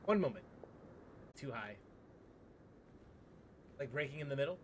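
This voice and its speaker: A man's voice: mid-range voice, lively intonation, a little slow, noisy background. A man giving casual, slangy live commentary as he streams a game, reacting as he goes. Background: game music.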